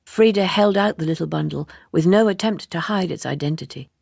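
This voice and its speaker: Lady reading an audiobook, husky and really creaky, slow careful delivery, dry sound. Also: lowish voice, darkish tone, dry recording. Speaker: audiobook reader. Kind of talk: scripted literary narration for radio.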